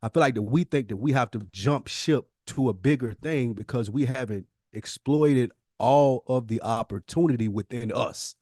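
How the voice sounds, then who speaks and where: A man's voice: mid-range voice, moderate pace, hardly any pauses, dark tone, no echo, studio quality. An American man co-hosting a podcast, talking casually in long, emphatic, opinionated explanations. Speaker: podcast co-host. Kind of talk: casual conversational discussion.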